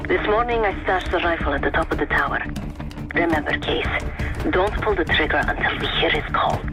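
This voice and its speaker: A woman's voice: deep voice, fairly quick, lightly acted, rough recording. A woman playing a tough, vengeful covert operative in an action game, terse and commanding as she guides a partner. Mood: cool, focused. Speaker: mission guide. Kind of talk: cinematic action game dialogue. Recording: loud game music underneath.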